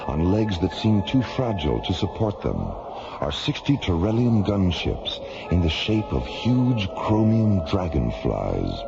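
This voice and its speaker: A man narrating an old-time science fiction radio drama in a measured, portentous, dramatic style. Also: sits low, sing-song, gravelly. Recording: dull old radio recording, loud music behind.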